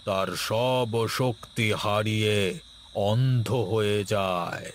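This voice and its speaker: A man's voice: on the low side, takes it slowly, slight background noise. A man voicing a stern but benevolent old holy sage in a cartoon story, speaking solemnly and with authority. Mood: stern, wrathful. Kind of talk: Bengali cartoon story voice acting.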